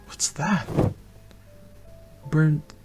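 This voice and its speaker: A man playing a worried, caring young farmer husband, earnest and measured, in an amateur radio drama. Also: fairly high pitch, music in the background. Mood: puzzled.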